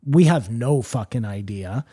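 A man's voice: medium pitch, animated, a little slow, dark tone, husky. A man co-hosting a podcast, rambling and speculating in casual chat, swearing freely. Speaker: podcast co-host.

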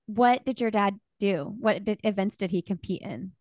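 A woman podcast host asking interview questions in a brisk, encouraging way. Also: mid-range voice, a little mellow, no room sound, studio quality.

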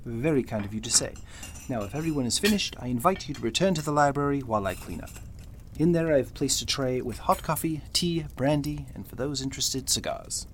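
A servile, courteous butler from an old-time radio play, acted by a man. He speaks quickly and formally at a middle pitch. Faint ambient noise sits behind the voice. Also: expressive, close to an everyday voice. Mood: deferential, obliging. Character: deferential, polite male butler and cook.

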